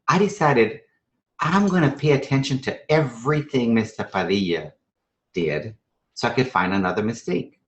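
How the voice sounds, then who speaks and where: A man's voice: mid-range voice, fairly bright tone. A man from Trinidad and Venezuela teaching a math strategy session to teachers, conversational and emphatic, with rhetorical questions and frequent check-in tags. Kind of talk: live instructional presentation to teachers. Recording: echoey room sound.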